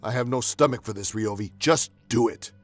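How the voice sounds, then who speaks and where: An actor playing a cold, weary traitor cultist in an audio drama, husky and gravelly, hushed and deliberate. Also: male voice, medium pitch, dry recording, close to an everyday voice. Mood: weary impatience. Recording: music behind the voice.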